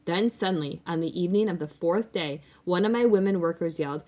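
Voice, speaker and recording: A woman's voice: lowish voice, brisk, warm tone, close to an everyday voice. A woman voicing a boastful, doting rich businessman father in a storybook, emphatic and folksy. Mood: excited, doting.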